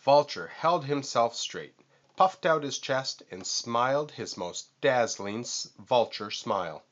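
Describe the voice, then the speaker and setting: A man reading a children's story aloud in an expressive, deliberate manner. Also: mid-range voice, highs cut off. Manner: deliberate, animated. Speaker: storybook reader.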